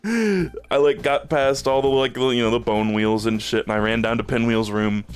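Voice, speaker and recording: A man's voice: mid-range voice, normal speed, clean tone. A man streaming a game, rambling in casual chat with plenty of swearing and fillers, a bit phlegmy in the throat. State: a little phlegmy.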